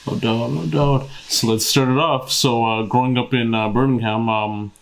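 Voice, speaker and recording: A man's voice: medium pitch, fairly even intonation, normal speed, gravelly. A man hosting an interview in loose casual talk, saying "like" and "dope" constantly. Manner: loose, heavy use of "like" and "dope". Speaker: interview host.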